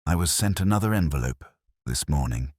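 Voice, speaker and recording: Man voicing a hoarse, put-upon small-town dentist. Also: rumbling low, animated, a little fast, a little mellow, dry recording, studio quality. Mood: weary, bewildered. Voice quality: hoarse, croaking. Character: frail, bewildered male dentist, an anxious scapegoat.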